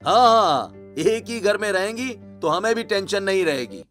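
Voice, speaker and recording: A man's voice: high-pitched, very animated, moderate pace, fairly bright tone, faint music behind, voice pushed up. A man voicing a stern, domineering middle-aged family patriarch in a cartoon story, speaking emphatically. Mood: pleased. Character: stern middle-aged family patriarch arranging his nieces' and daughters' marriages.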